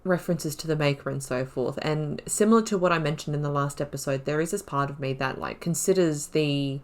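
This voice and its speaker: A woman's voice: low-pitched, brisk. A woman hosting a gaming video, chatting casually through a playthrough and reading lore aloud.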